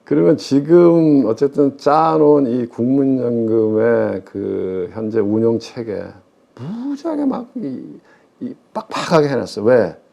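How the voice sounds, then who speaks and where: Middle-aged man, mellow voice, interview guest, emphatic explaining, self-answered questions, muffled lo-fi sound. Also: medium pitch, expressive, unhurried, gravelly. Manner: emphatic, posing questions and answering them himself. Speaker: guest expert, former pension fund chief investment officer. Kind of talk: conversational interview commentary on public finance.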